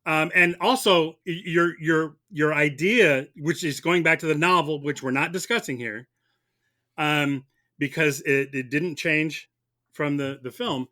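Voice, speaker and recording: Man, higher-pitched, very bright forceful voice, halting delivery, repeated words, mock prosecutor in a debate. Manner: halting, repeats words while arguing his case. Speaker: guest debater playing prosecutor. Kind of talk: mock courtroom film debate.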